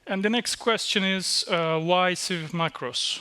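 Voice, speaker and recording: A man, giving a technical conference talk on a dark stage, halting with fillers and restarts. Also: sits high, smooth. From Turkey. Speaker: conference speaker. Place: dark stage in a small venue.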